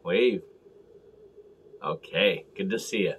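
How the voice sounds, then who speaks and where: Man, American accent, low-pitched, casual video host, halting clipped phrases, recorded at home. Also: slight background noise. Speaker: beer review host. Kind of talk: casual video review to camera. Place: small room at home, indoors.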